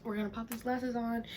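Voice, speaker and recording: A woman's voice: fairly high pitch, fairly bright tone, slight background noise. A young Southern woman with a stuffed-up, congested voice, chatting casually to her viewers with lots of ums and y'alls. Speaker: YouTuber reading Reddit stories. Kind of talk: casual vlog chat. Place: home room, indoors.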